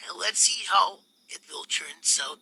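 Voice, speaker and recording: A man's voice comes through a voice-changer effect, reading short demonstration lines. It is extremely bright and forceful, with a husky, unclear grain to it. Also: high-pitched, lively intonation, a little slow, rough recording. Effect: voice-changer filter.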